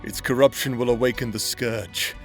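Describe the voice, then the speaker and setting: A man voicing a menacing, scheming male necromancer in a roleplay audio drama, speaking in a calm, threatening, theatrical way. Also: medium pitch, normal speed, soft background music, natural voice.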